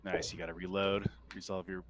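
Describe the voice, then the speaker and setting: A man running a tabletop roleplaying game as game master, with a crisp, clear voice. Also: low voice, flat delivery, fairly quick, fairly bright tone.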